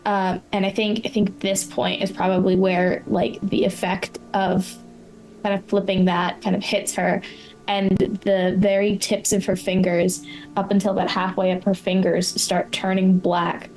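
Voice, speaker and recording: A woman playing a tabletop roleplaying game, casually improvising a description of what their character does, haltingly and informally. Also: medium pitch, level tone, darkish tone, rough recording.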